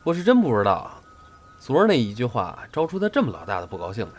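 A man's voice: medium pitch, huge pitch swings, fast. A man playing a good-natured but blunt senior comedy apprentice in an audio drama, bantering. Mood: teasing. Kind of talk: audio drama acting, colloquial dialogue.

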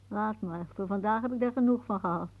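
An actress playing a weary, bitter older aunt in a radio drama, musing theatrically. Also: female voice, mid-range voice, a little mellow, close to an everyday voice. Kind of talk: radio drama acting, monologue-like. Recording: muffled, narrow-band old radio recording.

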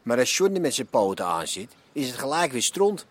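An actor in an audio drama playing an opinionated, earthy café gossip. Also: male voice, medium pitch, very animated, fairly quick. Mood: gossipy. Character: gossiping male café regular from the underworld.

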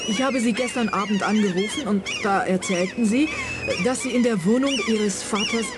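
A woman's voice: a little high, moderate pace, loud music behind the voice, natural voice. A woman playing an earnest client of a detective in a radio play. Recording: loud outdoor boat ambience behind. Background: boat deck ambience.

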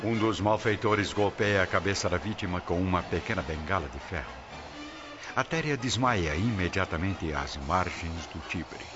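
Brazilian man, deep solemn storytelling, scripted drama narration, lo-fi sound, prominent music. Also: moderate pace. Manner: solemn, literary. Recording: dull audio under loud dramatic music.

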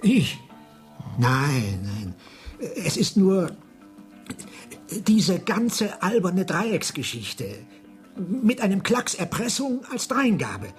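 A man's voice: high voice, wildly swooping pitch, very slow, faint music behind, heavily acted. An actor playing a mild, bewildered man in a radio drama, hesitant and stammering as he questions. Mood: disappointed, rueful. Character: nervous, bewildered man, mild and self-doubting. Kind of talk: 1980s German radio drama acting.

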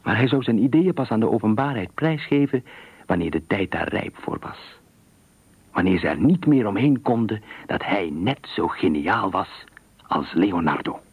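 A man with a low, husky voice reads a literary thriller story for radio. His delivery is measured and expressive, like a practised storyteller. The sound is dry and close. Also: dry recording. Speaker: narrator.

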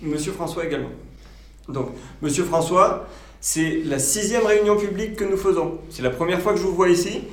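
Guy as defensive small town mayor, formal but halting, roomy echo, radio play. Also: high voice. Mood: firm, defensive. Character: officious village mayor defending his project. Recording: echoey meeting room.

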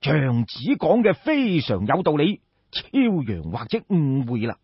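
A man's voice: on the high side, wildly swooping pitch, unhurried, slightly rough, full character voice. A man voicing a proud, sceptical court minister in a story, formally courteous while questioning and challenging. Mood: grudging, puzzled. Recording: echoey room, slightly dull sound.

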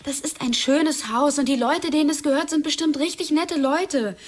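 A woman's voice: high-pitched, moderate pace, lightly acted. An actress playing a naive, whiny young woman, a killer's childlike girlfriend, in a German radio drama. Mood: earnest, chiding.

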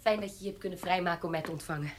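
An actress playing a shrewd, self-assured baroness in a radio drama, speaking formally, pointedly and insistently with a husky voice. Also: female voice, lowish voice, racing, natural voice. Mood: confrontational. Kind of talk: Dutch radio drama acting.

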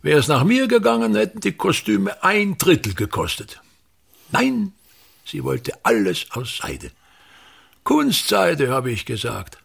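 Bitter old stage tyrant in a radio drama, husky, declamatory, pitch swooping all over. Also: male voice, huge pitch swings, measured pace, fairly bright tone, full character voice. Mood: bitter, ranting. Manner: declamatory, haranguing, emphatic. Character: pompous, tyrannical ageing actor-manager, bitter, self-pitying family father. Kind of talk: theatrical radio play monologue.

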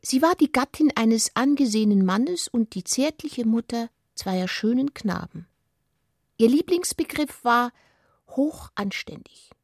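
Breathy, husky woman narrating literature. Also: a little high, expressive, measured pace, dry recording, studio quality. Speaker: narrator of a literary radio play.

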